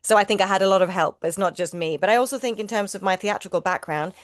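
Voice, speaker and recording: A woman's voice: medium pitch, fast, no room sound, studio quality. A middle-aged woman whose first language is Swedish, an actor chatting in an interview, talking freely with many restarts and repeated words. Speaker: actor guest. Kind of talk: casual interview chat.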